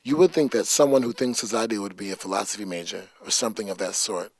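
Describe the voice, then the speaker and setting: Low-voiced young man, earnest monologue. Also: fast, slightly rough, no echo. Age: young adult. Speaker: college student. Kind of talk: reflective spoken monologue.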